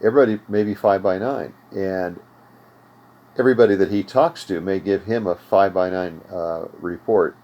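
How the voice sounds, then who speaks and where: An older American man speaks in a deep, low register as he teaches informally. His explanations come in measured, halting stretches with pauses between thoughts. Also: dull audio. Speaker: host of amateur radio tutorial series. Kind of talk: informal instructional talk. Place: home radio room.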